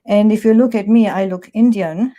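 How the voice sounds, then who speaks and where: Mellow-voiced Tanzanian-born woman in her sixties. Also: medium pitch, steady tone, normal speed, lo-fi sound. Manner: chatty, many fillers like "you know" and restarts. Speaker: guest, publisher. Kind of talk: conversational interview.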